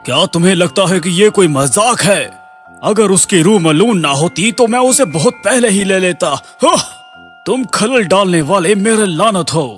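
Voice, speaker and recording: A man voicing a haughty, mocking god of the underworld in an animated fairy tale, imperious and theatrical. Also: sits high, moderate pace, soft background music. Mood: scornful.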